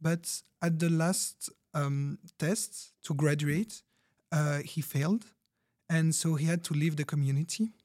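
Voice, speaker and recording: A man's voice: a little high, a little slow, a little mellow, lightly acted. A man playing a proud, logic-minded young podcast guest, telling his story carefully and earnestly. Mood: earnest, self-assured. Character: logic-obsessed, proud, hardworking young guest from rationalist community. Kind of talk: fiction podcast backstory monologue.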